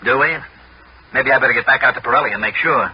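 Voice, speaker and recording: An old, muffled radio drama recording with clear background noise. A male actor plays a shrewd, hard-boiled investigator who speaks very quickly, firm and in command. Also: mid-range voice, close to an everyday voice. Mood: brisk. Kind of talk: 1960s radio drama acting and first-person narration.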